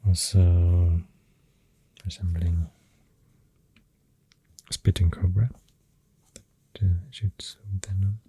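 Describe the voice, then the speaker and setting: Swedish accent asmr host, super breathy and hushed, low pitched, halting gentle rambling. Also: flat delivery. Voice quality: hushed, breathy, partly whispered. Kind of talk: soft-spoken ASMR, rambling facts.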